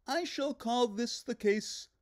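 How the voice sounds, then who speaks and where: A woman voicing a pompous, excitable male detective who declaims grandly in a children's storybook. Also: a little high, slow pace, no room sound, studio quality, pitched above the performer's own voice. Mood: lecturing. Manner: theatrical, declaiming, self-important.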